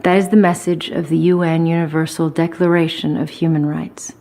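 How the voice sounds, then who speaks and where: A woman reading a formal statement slowly and clearly, as a model for repeating. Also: low voice, level tone, mellow.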